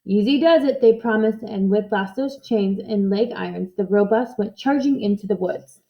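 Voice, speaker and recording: A woman reading a children's storybook aloud in expressive narration. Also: mid-range voice, moderate pace, gravelly, lo-fi sound. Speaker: storybook reader.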